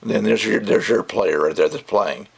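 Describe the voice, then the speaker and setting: A man's voice: on the low side, normal speed, vocal fry, slightly rough. A man giving a casual, rambling and opinionated product review, talking off the cuff.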